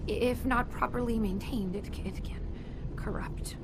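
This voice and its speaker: A woman acting an earnest, socially awkward robot inventor in a video game, halting and defensive. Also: a little high, steady tone, whispered. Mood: reluctant, pensive. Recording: clear background noise and ambience.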